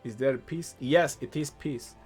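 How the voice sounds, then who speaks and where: A man's voice: mid-range voice, measured pace, breathy edge. Laid-back man streamer with faint music. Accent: Eastern European. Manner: relaxed, thinking aloud with restarts. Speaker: game streamer. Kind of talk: casual live-stream Q&A reading chat.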